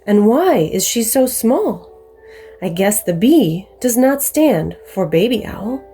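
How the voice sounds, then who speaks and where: A drowsy, dignified great horned owl speaks in slow hoots from a children's storybook, as a woman reads her part. The voice rises and falls a lot. Quiet music plays underneath. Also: a little high, sing-song, husky, heavily acted. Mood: curious.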